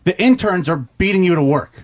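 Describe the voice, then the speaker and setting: A high-pitched man argues as a radio show staffer in a workplace dispute. He is blunt and insistent, pressing his point with plenty of profanity. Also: expressive, quick pace. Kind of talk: heated workplace argument.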